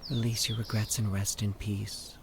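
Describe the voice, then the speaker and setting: A man voicing a calm, eerie woman who communes with the dead, speaking in a soothing, measured monotone for an audio horror story. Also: on the low side, creaky, slight background noise. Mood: hushed, soothing.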